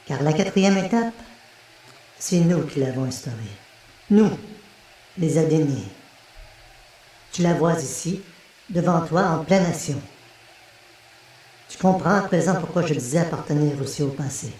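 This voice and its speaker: A grave alien woman of a dying race, acted by a man in an audiobook. The voice sits fairly high for a male reader and is swamped in heavy echo, with some hiss. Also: unhurried, pauses often, darkish tone, natural voice. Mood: grave revelation. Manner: grave, explanatory. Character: solemn female alien of a dying race, revealing a truth. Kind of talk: dramatic audiobook character dialogue.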